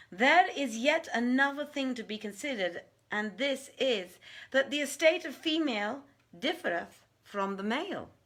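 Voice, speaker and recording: An obsequious male ifrit, performed by a woman storyteller, flattering and stubbornly boastful in florid archaic phrases. The storybook voice sits high, with sharp brightness and big swoops in pitch. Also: full character voice.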